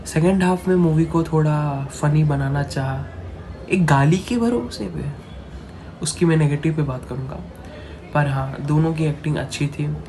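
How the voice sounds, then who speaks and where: A man with an Indian accent reviewing a film off the cuff as a channel host, halting and repeating himself, dropping English phrases into Hindi. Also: a little high, mellow. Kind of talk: unscripted movie review to camera. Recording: clearly noisy, ambient background noise.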